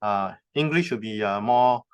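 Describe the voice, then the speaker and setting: A man giving a conference presentation, explaining terms step by step and pausing often on filler sounds. He has just moved to Hong Kong from North America. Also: fairly low pitch, clean tone. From recently moved from North America. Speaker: conference speaker. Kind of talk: presentation talk. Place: conference venue.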